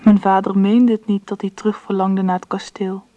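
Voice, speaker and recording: A woman's voice: medium pitch, level tone, normal speed, mellow, muffled recording, a little hiss or hum, lightly acted. An actress playing a reflective grown daughter who narrates her childhood memories in a radio drama.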